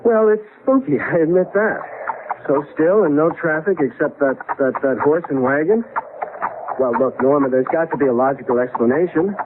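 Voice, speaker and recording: An actor playing a flustered American husband in a 1970s radio drama, halting and repeating words as he insists on logical explanations. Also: on the high side, natural voice. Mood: rattled, soothing. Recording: tinny, noisy 1970s radio broadcast.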